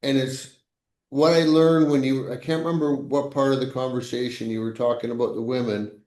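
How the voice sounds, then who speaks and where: A man of middle pitch co-hosts the podcast, talking slowly and haltingly while he looks for the right words. His voice carries a noticeable roomy echo. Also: fairly even intonation, darkish tone. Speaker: podcast co-host. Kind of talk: casual conversation.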